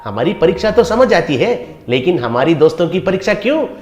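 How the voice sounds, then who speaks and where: Male actor as cheeky young villager, highish voice, brash, emphatic, echoey, comedy cartoon. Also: big pitch swings, a little fast, darkish tone, soft background music. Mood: cheeky, indignant. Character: cheeky, defiant young sons and their pitchman friend. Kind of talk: animated comedy story dialogue.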